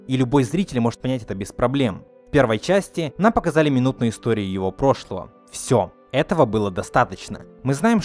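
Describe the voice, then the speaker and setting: A man's voice: mid-range voice, darkish tone. A young man narrating an opinionated film critique in an argumentative, emphatic way. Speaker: video essayist. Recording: music behind the voice. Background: faint background music.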